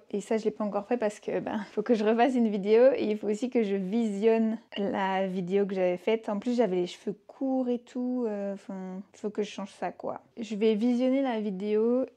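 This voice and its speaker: A woman, vlogging in a chatty, self-mocking way with quick asides. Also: fairly high pitch, dark tone. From Belgium. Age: 20s. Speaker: vlogger and au pair. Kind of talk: casual vlog chat.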